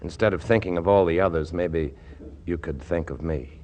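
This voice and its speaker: An actor playing a mild, oddball ex-soldier secretary, quietly cheeky and smitten, with innocent deadpan in 1940s radio comedy style. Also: male voice, sits low, normal speed, close to an everyday voice. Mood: shy, wry. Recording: noisy old radio recording.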